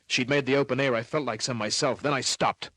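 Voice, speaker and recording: Guy acting as tough aussie radio detective, rough bright-edged voice, clipped slangy narration, really close dry sound. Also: medium pitch, fairly even intonation, a little fast, dry recording, lightly acted. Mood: tense, dry. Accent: Australian. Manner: terse, clipped, slangy delivery. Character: tough, wisecracking hardboiled male private detective narrating his case. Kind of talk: old-time radio crime drama, first-person narration.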